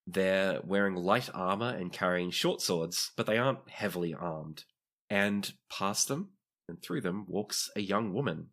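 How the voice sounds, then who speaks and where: Low voiced guy game mastering, describes stuff in a chatty storytelling way. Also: unhurried, fairly bright tone. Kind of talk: improvised narration of a tabletop role-playing game.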